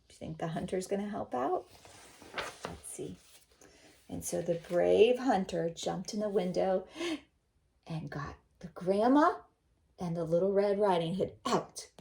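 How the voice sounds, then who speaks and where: A woman's voice: medium pitch, gravelly, warm tone. A woman teacher reading a storybook aloud expressively, with questioning, instructive asides.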